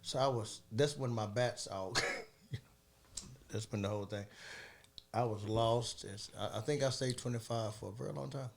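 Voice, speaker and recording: A man in his thirties co-hosting a casual podcast chat, animated and laughing often, stumbling over restarts, with some phlegm in his throat. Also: lowish voice, moderate pace, vocal fry. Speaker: podcast co-host.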